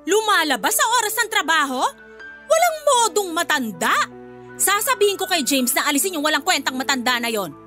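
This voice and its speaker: A woman's voice: sits high, big pitch swings, a little fast, sharp tone, full character voice. An actress playing a bossy, hot-tempered, spiteful woman of the household in a Filipino radio drama, scolding and haughty with a Filipino accent. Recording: background music under voice.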